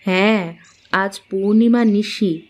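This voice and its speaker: A woman playing a grave, cautioning mother-in-law in a cartoon story, speaking in a measured, solemn storytelling way. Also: mid-range voice, darkish tone, natural voice. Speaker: narrator and voice actor. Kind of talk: scripted cartoon story narration.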